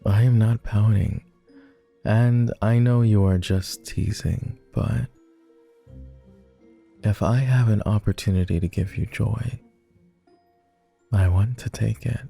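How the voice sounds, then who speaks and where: A very dark, mellow vampire lord played by a man, deep and heavy with gravelly fry. He speaks slowly and formally, tender and melancholy, as a clingy lover in an intimate roleplay ASMR. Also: steady tone, faint music behind, exaggerated voice. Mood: earnest, tender. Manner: formal, old-fashioned wording, hesitant, tender.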